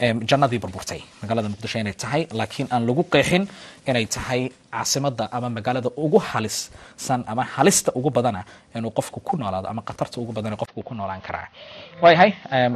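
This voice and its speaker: A man hosting an interview, talking rapidly and switching between languages. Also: mid-range voice, husky. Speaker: interview host. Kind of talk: broadcast interview.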